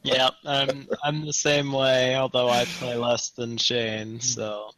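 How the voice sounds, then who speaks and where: A man's voice: medium pitch, little pitch movement, takes it slowly, sharp tone, no echo, low-quality recording. A man on a podcast panel, chatting informally about video games. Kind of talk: casual chat about gaming.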